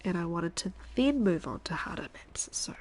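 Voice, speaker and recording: A woman in her thirties, a stuffy-nosed streamer chatting casually while she plays, thinking aloud and now and then dropping to a quiet whisper. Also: lowish voice, lively intonation, normal speed. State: congested, stuffy nose. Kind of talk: casual live-stream chat while gaming.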